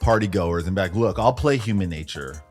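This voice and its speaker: A man's voice: low voice, animated, brisk, faint music behind. A man hosting a podcast in casual, slangy style with a joking manner. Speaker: podcast host, DJ. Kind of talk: casual group chat, reading music charts.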